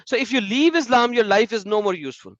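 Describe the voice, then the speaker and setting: A man hosting a casual discussion, animated and repeating his phrases. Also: high-pitched, quick pace, no echo. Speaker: host. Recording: muffled lo-fi sound.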